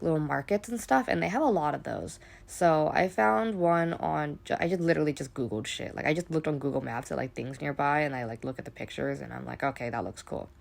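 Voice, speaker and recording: Low, gravelly young American woman. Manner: chatty, rambling, heavy use of "like", casual swearing. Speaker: travel vlogger. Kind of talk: casual travel vlog voice-over.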